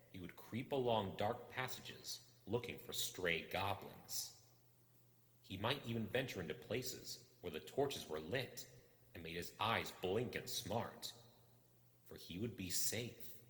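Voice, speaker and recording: A man narrating a suspenseful story in a deliberate, theatrical manner. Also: fairly low pitch, animated, fairly bright tone. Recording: echoey room with long reverb.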